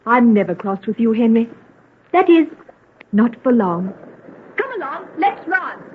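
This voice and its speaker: High-voiced sweet young lady, old radio. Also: big pitch swings, a little slow. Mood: forgiving. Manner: theatrical, genteel, old-fashioned diction. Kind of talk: vintage radio drama acting. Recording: tinny, noisy old radio recording.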